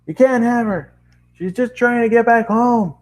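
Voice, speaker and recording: Young male story hero, acted by a man: protective, outraged, shouting, pitch pushed up. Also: measured pace. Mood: indignant. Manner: shouting back.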